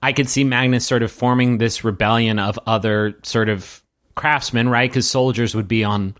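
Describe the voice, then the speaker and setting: Man narrating as a halting game master. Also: medium pitch, fairly even intonation, a bit bright. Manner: halting, searching for words, addressing the player directly. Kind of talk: improvised tabletop game narration.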